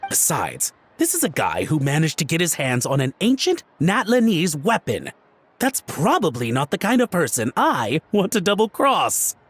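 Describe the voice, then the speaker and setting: A man's voice: fairly high pitch, huge pitch swings, moderate pace, fairly bright tone, slightly rough, heavily acted. A stammering, flustered young man. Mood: guarded. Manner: stammering, flustered, overly formal. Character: nervous, timid young man caught out. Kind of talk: video game cutscene dialogue.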